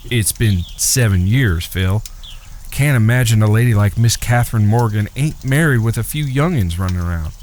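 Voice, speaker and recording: A man's voice: lowish voice, lively intonation, moderate pace, a little mellow, a little hiss or hum, lightly acted. An American man playing a level-headed, dryly teasing cowboy brother in a Western audio drama. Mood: calm, probing. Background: faint crickets.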